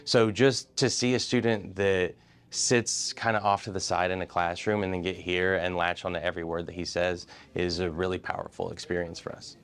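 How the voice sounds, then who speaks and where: A man, a high school teacher, talking earnestly about his students in an interview. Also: level tone, normal speed, smooth. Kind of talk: interview soundbite.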